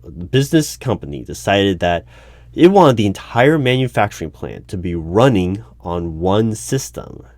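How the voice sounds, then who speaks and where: A man playing an awkward, officious hiring manager in an ASMR interview roleplay, speaking formally with halting stammers and over-polite phrasing. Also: fairly low pitch, big pitch swings, a little mellow, no room sound, studio quality. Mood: puzzled, probing. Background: computer keyboard typing.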